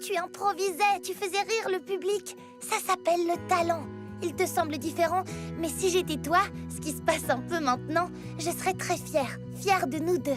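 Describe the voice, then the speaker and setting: A woman's voice: extremely high pitch, steady tone, moderate pace. A woman voicing a kind, encouraging young woman in a French cartoon dub, speaking in a reassuring way. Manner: warm and reassuring. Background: music.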